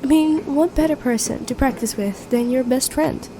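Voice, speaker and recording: A woman playing a sweet, supportive young best friend with a secret crush, speaking gently and earnestly in an intimate audio roleplay. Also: high voice, moderate pace, noisy background, natural voice. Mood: playful, encouraging. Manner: gentle, earnest, encouraging, conversational with soft hesitations. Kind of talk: intimate roleplay audio acting, one-sided dialogue. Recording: cafe chatter behind the voice. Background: coffee shop crowd ambience.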